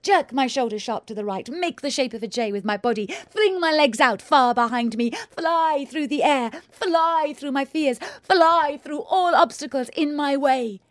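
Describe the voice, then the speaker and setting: A woman's voice: high-pitched, no room sound. Intense woman narrating, dry and close. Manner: intense, measured, drops to hushed whispers. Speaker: writer and performer. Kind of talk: first-person audio drama narration.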